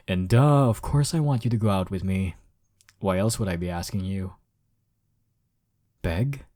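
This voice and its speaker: Man acting as slow-talking, cocky young romantic rival. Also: lowish voice, a little mellow, no room sound, clean studio sound. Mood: annoyed, smug. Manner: teasing, chuckling, sighing, stammering when flustered. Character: cocky, teasing young man flustered by his crush. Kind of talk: intimate romantic audio roleplay.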